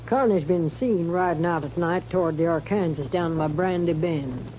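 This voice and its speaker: A woman's low voice on a muffled, faintly hissy old radio recording , acted. She is a worldly western saloon woman, shrewd and dry, talking matter-of-factly. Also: moderate pace, dark tone, lightly acted. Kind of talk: 1950s radio western acting.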